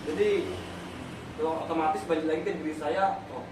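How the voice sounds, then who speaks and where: A man's voice: a little high, fairly even intonation, brisk. A young man, a university student from North Sumatra, chatting casually as a podcast co-host and rambling with many fillers. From Batak, North Sumatra. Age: young adult. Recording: echoey room, far from the mic, loud background noise.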